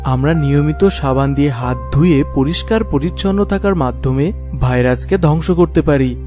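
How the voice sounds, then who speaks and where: A man's voice: fairly high pitch, moderate pace, a little mellow, soft background music, lightly acted. A man playing a wise townsman in a children's cartoon, earnestly instructing the people. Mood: earnest, urging. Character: earnest wise townsman advising everyone on survival. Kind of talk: children's cartoon fairy-tale voice acting.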